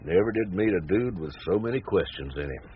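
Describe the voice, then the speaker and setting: An actor playing an easygoing, laconic ranch hand with a folksy drawl from the American West, in a radio drama. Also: male voice, low-pitched, animated, brisk, slightly rough, exaggerated voice. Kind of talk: naturalistic radio drama acting. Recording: tinny narrow-band radio sound, background noise.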